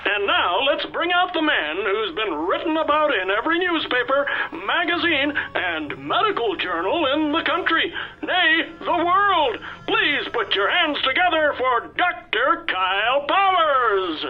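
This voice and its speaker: An actor playing a bombastic television master of ceremonies in a radio drama, announcing in a grandiose, showy way. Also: male voice, very high-pitched, very animated, unhurried, muffled recording, faint music behind, heavily acted. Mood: grand, showy. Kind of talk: theatrical radio drama acting.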